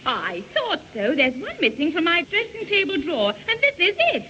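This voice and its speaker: A woman's voice: very high-pitched, wildly swooping pitch, normal speed, bright, forceful tone, heavily acted. A woman playing an indignant, emphatic young woman in a radio sitcom. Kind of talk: 1970 radio sitcom acting. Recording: muffled vintage radio recording, faint noise.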